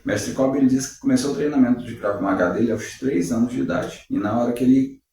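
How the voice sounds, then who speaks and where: Mellow man in an echoey room. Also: medium pitch. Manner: measured, uses rhetorical questions. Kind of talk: reflective devotional talk. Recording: very echoey room, distant mic.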